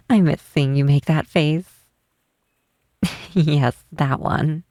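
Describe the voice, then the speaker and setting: A woman voicing a tall, shy, wistful half-troll cleric in a soft, hesitant ASMR roleplay, close to the microphone. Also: sits low, very animated, no echo, full character voice. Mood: fond, amused. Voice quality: soft, breathy. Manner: hesitant, halting, tender. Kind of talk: ASMR roleplay, intimate softly spoken acting. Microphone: close microphone, dry.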